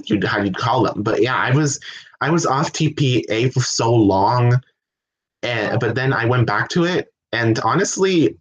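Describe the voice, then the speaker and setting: A man's voice: medium pitch, dull audio. A man from the United States hosting a casual livestream at home, answering viewer questions in a chatty way, often laughing and restarting his sentences. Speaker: livestream host.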